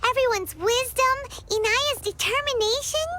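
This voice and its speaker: A woman's voice: moderate pace, very noisy. A woman voicing a tiny, curious female fairy companion in a game, chatty and talking of herself by name. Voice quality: high squeaky childlike voice. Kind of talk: game voice acting. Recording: game audio with music behind.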